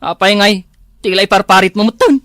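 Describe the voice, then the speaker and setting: A man's voice: sits high, a little fast, fairly bright tone, no room sound, studio quality. A man acting a henpecked, whining village husband in an Ilocano comedy drama, with an Ilocano accent.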